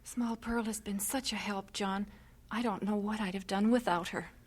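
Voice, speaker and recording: A woman's voice: medium pitch, fairly even intonation, moderate pace, natural voice. Casting: woman to play steadfast missionary wife, mid-range voice, wry and devout, old-time radio acting. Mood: wry, subdued.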